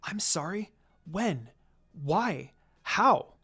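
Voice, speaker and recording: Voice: medium pitch, animated, drawn-out words, sharp tone, breathy edge, exaggerated voice. A narrator voicing a commanding, curious woman village leader with a direct, questioning manner in a read-aloud story. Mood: astonished.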